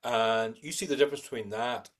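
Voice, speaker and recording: A man's voice: mid-range voice, a little fast. A man appearing as a podcast guest, chatting casually and earnestly. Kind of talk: casual fan chat.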